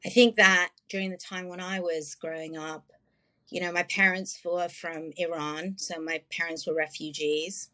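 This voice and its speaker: Reflective woman, low voice. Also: flat delivery, moderate pace, bright, forceful tone. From London, England. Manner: flowing, reflective, long elaborate sentences. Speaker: wellness practitioner, guest. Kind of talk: interview answers.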